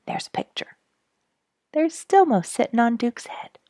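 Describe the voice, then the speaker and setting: A woman reading a story aloud as host, in an expressive scripted storybook style with emphatic, dramatic stresses. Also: a little high, a little slow, dry recording, studio quality. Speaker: story reader and show host.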